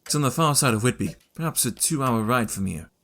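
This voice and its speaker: A man's voice: medium pitch, animated, brisk, a bit bright. Guy playing earnest young victorian gent, mid voice, direct and determined, audio drama.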